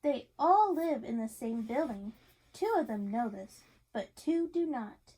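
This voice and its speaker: A woman with a high, youthful voice reads a picture storybook aloud to a young listener. She is encouraging and often pauses the reading to ask questions. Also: animated, dark tone, breathy edge, poor audio. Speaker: reader of a picture book to a child. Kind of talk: interactive storybook read-aloud.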